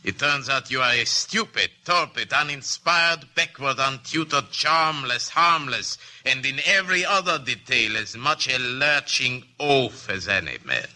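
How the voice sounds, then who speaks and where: An actor playing a supremely bored, cruel enemy general in a 1950 radio drama, clipped and disdainful. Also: male voice, medium pitch, animated, a little slow, few pauses, bright, forceful tone. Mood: scornful.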